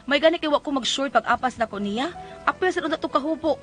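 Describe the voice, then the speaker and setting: A woman's voice: high voice, expressive, quick pace, a bit bright, soft background music. A woman with a Cebuano Filipino accent playing an exasperated, scolding wife in a comic radio drama. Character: exasperated wife fed up with her crazy husband.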